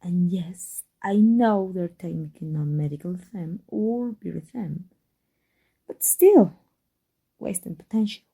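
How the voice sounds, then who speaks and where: A prickly supervillainess, played by a woman in an ASMR roleplay audio drama. Her medium-pitched voice is soft-edged and mellow, with some huskiness. She delivers her barbs with theatrical, sardonic scorn, taunting her rival. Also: creaky, husky, full character voice. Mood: teasing, mocking.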